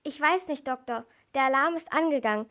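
High-voiced girl playing a worried night nurse. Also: a little fast, pushed and bright. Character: alarmed night nurse Sarah, dutiful and worried. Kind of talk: amateur children's radio crime play.

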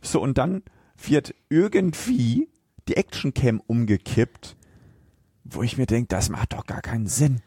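A man's voice: mid-range voice, big pitch swings, unhurried, dark tone, breathy edge, no echo, studio quality. A man co-hosting a podcast, chatting casually about a film in a colloquial, agreeable way. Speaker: podcast co-host.

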